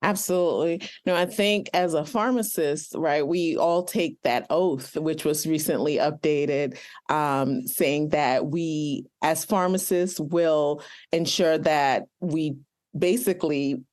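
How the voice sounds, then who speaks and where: Low-voiced woman pharmacist guest. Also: takes it slowly, darkish tone. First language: not English. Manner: earnest, many ums. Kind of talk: interview answers.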